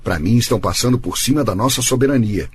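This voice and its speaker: An actor playing a learned, middle-aged gentleman of the law in a radio soap, formal and measured, with a Brazilian accent. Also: medium pitch, slight background noise, close to an everyday voice. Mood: earnest. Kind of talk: radio soap opera acting.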